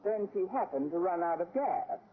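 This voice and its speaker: An actress playing a wry, sarcastic schoolteacher in an old radio sitcom, delivering dry wisecracks in a deadpan manner. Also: female voice, on the low side, moderate pace, slightly rough. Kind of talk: 1940s-50s radio sitcom acting and narration. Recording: noisy, tinny vintage radio broadcast recording.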